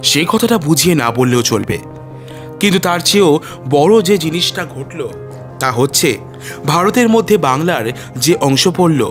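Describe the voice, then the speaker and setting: A male storyteller reads scripted fiction in a high-pitched voice. His intonation swings widely, with dramatic emphasis on measured literary phrases. Music plays behind the narration.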